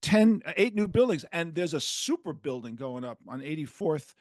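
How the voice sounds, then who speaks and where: An older man with a New York accent, hosting a podcast and telling rambling stories with animated quoted voices. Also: on the high side, normal speed, no room sound, studio quality. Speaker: podcast host. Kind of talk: casual podcast chat.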